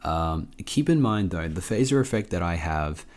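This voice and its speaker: A man hosting a casual software tutorial, informal and a bit hesitant as he thinks aloud. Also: low voice, animated, normal speed. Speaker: tutorial host.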